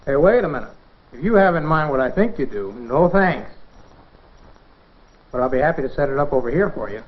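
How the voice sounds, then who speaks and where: A man's voice: medium pitch, sing-song, a little fast. A man assisting in a demonstration, speaking scripted casual dialogue in an old educational film. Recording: dull band-limited 1950s film soundtrack.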